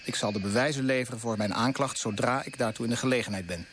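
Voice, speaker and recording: A man's voice: mid-range voice, fast, fairly bright tone, a little hiss or hum, close to an everyday voice. A man playing a principled, stubborn colonial official in a Dutch radio play, speaking formally and firmly. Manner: formal, firm, declarative.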